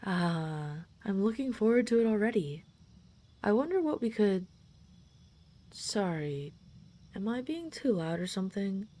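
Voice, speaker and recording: Mellow, gentle dragon friend played by a woman. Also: mid-range voice, big pitch swings, unhurried, long pauses. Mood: cheerful, fond, then mildly concerned. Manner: soft-spoken, reassuring, hesitant and considerate. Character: gentle, caring female dragon friend in humanoid form. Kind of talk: roleplay audio drama, intimate comfort scene.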